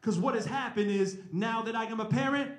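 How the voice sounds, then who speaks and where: An energetic man in his forties speaking to a live audience as a rapper and professor, in a conversational, informal way. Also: very high-pitched, fairly quick. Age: 40s. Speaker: rapper and mathematics professor giving a talk. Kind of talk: informal live talk with spoken-word rap. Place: indoor live event at a college. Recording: roomy, echoing live event recording.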